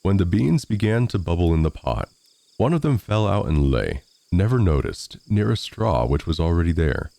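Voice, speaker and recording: A man narrates a storybook tale with a lively, swinging intonation. His voice is mellow and a little gravelly. He reads expressively, as a storyteller does. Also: low-pitched, moderate pace, dry recording. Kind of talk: scripted fairy tale narration.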